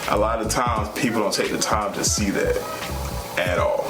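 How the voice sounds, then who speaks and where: A man's voice: on the low side, moderate pace, over loud music. A young man talks earnestly and casually to viewers as a vlog co-host, repeating himself at times. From United States. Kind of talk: casual sincere talk to viewers. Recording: echoey room with clear background noise.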